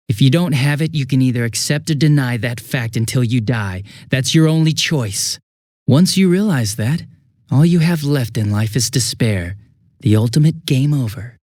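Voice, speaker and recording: An American man playing a cynical, bitter young villain in a video game, with a husky voice and a flippant, contemptuous manner. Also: medium pitch, darkish tone, no room sound, studio quality, close to an everyday voice. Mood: bitter contempt. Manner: flippant, mocking, contemptuous drawl. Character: cynical, bitter, sneering young male villain turning unhinged.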